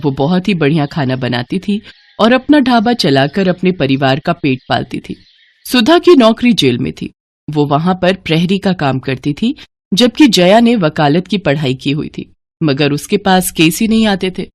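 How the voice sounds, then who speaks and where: A woman's voice: on the low side, animated, normal speed, no echo. A woman narrating a scripted devotional story in a dramatic storytelling manner. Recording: music behind the voice. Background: background music.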